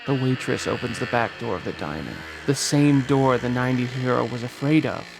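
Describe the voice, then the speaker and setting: A man's voice: brisk, vocal fry, over music. A man reading out a screenplay as narrator, in a dramatic scripted style, at a table read. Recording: loud ambient rumble behind voice.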